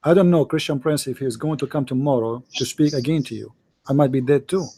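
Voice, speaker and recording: A man's voice: medium pitch, fast, warm tone, breathy edge, dry recording. A man with an Arabic accent, a native Arabic speaker, arguing emphatically as host of a call-in religious debate in repetitive, non-standard English.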